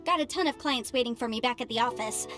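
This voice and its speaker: Woman playing a high, bubbly young legal adviser. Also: fast talker, music in the background, lightly acted. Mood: breezy. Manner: bubbly, glib, garrulous. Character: chatty, rambunctious young female legal adviser. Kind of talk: video game voice acting.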